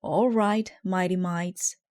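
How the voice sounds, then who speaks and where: An eager little boy mouse played by a woman captains a storybook ball team. He exclaims bossy, rallying orders at a slow, deliberate pace. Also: lowish voice, steady tone, a little mellow. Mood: upbeat, proud. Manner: commanding, exclaiming.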